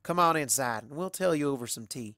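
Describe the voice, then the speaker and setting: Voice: medium pitch, expressive, fairly quick, a bit bright, dry recording, clean studio sound. A storyteller voicing an affectionate female cartoon farm pony with folksy country talk. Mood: warm, candid.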